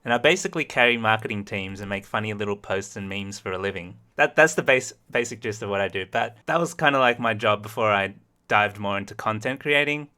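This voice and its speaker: A man's voice: lowish voice, brisk, hardly any pauses, bright, forceful tone, clear, resonant tone. A man with a light Australian accent, chatting easily about himself while being interviewed on a livestream, laughing often. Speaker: host.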